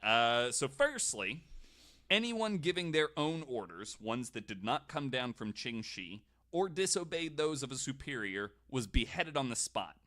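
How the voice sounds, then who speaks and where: A man's voice: mid-range voice, very animated, a little slow, bright, forceful tone. A man hosting a podcast, casually telling a story from notes with wry, jokey asides.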